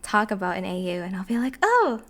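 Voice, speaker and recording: A woman's voice: medium pitch, very animated, fairly quick, a bit bright. A woman in a casual chat as a podcast guest, with a playful, giggly manner.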